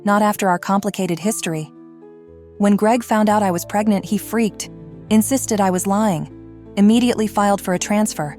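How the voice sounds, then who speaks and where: A woman playing a regretful, fiercely protective mother in an audio drama, earnest and measured. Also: mid-range voice, darkish tone, soft background music, lightly acted.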